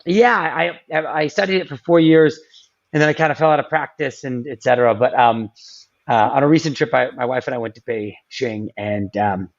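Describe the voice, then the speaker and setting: A man's voice: mid-range voice, fairly quick, muffled recording. A man as a podcast guest, chatting casually with many ums and restarts. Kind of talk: casual interview chat.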